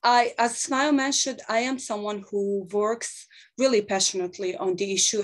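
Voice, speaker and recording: A Bosnian-accented woman in her thirties speaks English earnestly on a video call, halting now and then between phrases as she thinks aloud. Her pitch sits in the ordinary middle range for a woman. She is picked up by a webcam in a small book-lined office. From Bosnia and Herzegovina. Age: 30s. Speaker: panel speaker. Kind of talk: webinar talk. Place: small office with shelves of books, indoors.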